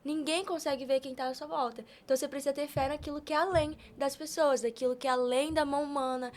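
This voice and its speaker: A woman's voice: sits high, fairly even intonation, quick pace. A woman of eighteen with a Brazilian accent, talking casually as a guest on a podcast. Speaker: podcast guest, youth preacher.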